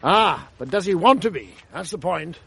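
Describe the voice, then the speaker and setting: An actor playing a middle-aged English schoolmaster, blustering, clipped and teasing, in a radio drama. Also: male voice, high-pitched, lively intonation, normal speed, gravelly, fairly bright tone, exaggerated voice. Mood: brisk, kindly. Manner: clipped, bantering, mock-stern, old-fashioned.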